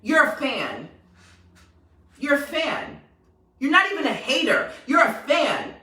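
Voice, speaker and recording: A sassy woman with a New York accent speaks in a high, bright voice that swoops all over the place. She rants passionately and hammers her points home. Her voice sounds echoey and a little distant from the mic. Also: slow pace. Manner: animated, sassy, emphatic repetition. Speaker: vlogger. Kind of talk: passionate direct-to-camera rant.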